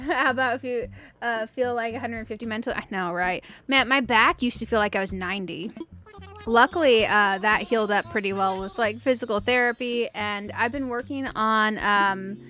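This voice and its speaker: A woman's voice: on the high side, moderate pace, pushed and bright. A woman in her late thirties with a youthful-sounding voice, chatting casually and giggly as she hosts a video game livestream. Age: late 30s. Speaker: streamer and video game host. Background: music.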